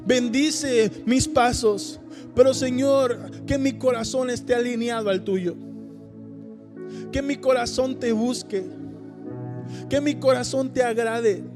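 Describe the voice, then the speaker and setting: A man's voice: extremely high pitch, long reverb tail. A man, preaching a sermon and leading an emphatic prayer at a church service. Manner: fervent, emphatic preaching with repeated calls of "Señor". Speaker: pastor.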